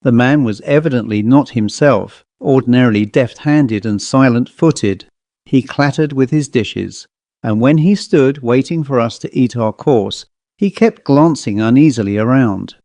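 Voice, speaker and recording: A man's voice: mid-range voice, dark tone, no echo. A man reading a scripted ghost story as an audiobook narrator.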